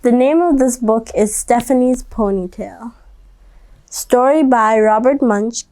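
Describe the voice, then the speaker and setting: A woman hosting a children's read-aloud, speaking directly to young listeners in a gently instructive way. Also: fairly high pitch, unhurried. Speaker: storybook reader and host.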